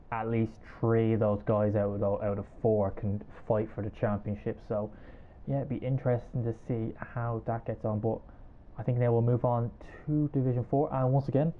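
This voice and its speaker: Irish male podcast host, low flat voice, phone-quality call audio, chatty and hedging. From Ireland. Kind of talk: casual podcast chat.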